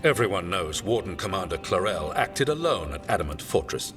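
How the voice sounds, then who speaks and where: A man's voice: deep voice, sing-song, brisk. A man playing a stern, disdainful commander in a fantasy game, speaking with formal authority. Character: stern, imperious male military commander. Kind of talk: cinematic game dialogue. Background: music.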